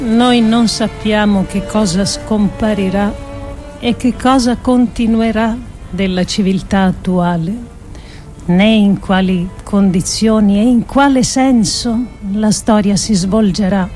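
An actress performing a theatrical monologue as a grave, idealistic political thinker, with deliberate, emphatic phrasing and dramatic pauses. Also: female voice, mid-range voice, fairly even intonation, darkish tone, faint music behind, lightly acted. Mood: solemn, hopeful. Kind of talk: theatrical scripted monologue adapted from philosophical essays.

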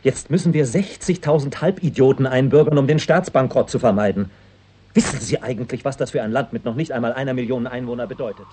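An actor playing a gruff, easily alarmed male government minister in a 1960s German radio play, blunt and impatient. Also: medium pitch, fast talker, warm tone, close to an everyday voice. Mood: alarmed, exasperated.

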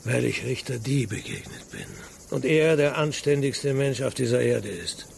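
Proud guard officer, heavily creaky voice, gruff, earnest, radio drama, faint night ambience. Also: male voice, mid-range voice, normal speed, a little hiss or hum. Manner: gruff, plain-spoken. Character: earnest, proud male city guard colonel, plain-born. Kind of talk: German radio drama dialogue.

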